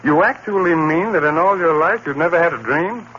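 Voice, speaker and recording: A man's voice: a little high, brisk, fairly bright tone, slightly rough. An actor playing a skeptical, bantering friend in a 1950s radio drama, breezy and incredulous in his talk. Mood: incredulous, teasing. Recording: dull, noisy old radio recording.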